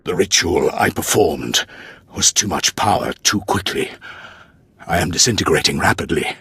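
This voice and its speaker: An actor playing a dying, haunted Sith lord in a game, raspy, grave and theatrical in a dramatic monologue. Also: male voice, sits low, expressive, moderate pace, fairly bright tone, heavily acted. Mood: grave, failing.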